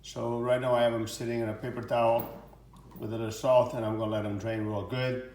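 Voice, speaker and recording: A middle-aged man explains a dish as he cooks at home. He rambles, restarts his sentences and fills gaps with "uh" and "you know." His pitch sits in an ordinary middle range for a man. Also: little pitch movement, brisk, darkish tone, a little hiss or hum. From Portugal. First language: Portuguese. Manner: rambling, many fillers and restarts. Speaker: chef. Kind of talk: home cooking demonstration.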